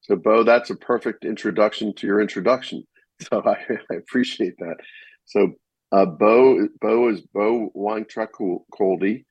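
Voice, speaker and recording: A man's voice: fairly low pitch, unhurried, a little mellow, husky, no room sound, muffled recording. A man hosting an interview, introducing his guest and asking friendly, rambling questions with restarts. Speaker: podcast host.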